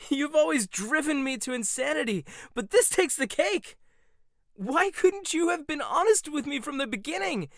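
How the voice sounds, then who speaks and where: A young man voicing a proud, sharp-tongued male chef in a roleplay audio, speaking close to the mic. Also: extremely high pitch, very animated, a little slow, no echo, heavily acted. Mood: exasperated. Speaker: voice actor. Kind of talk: boyfriend ASMR roleplay.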